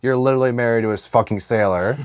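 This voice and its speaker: A young man co-hosts a comedy podcast in loose, casual banter. His voice sits at an average pitch and is recorded dry, and he riffs crudely with plenty of profanity. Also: fast talker, clean tone, no echo. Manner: crude, profanity-laden, riffing. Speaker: podcast co-host. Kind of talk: casual comedic banter about comics and movies.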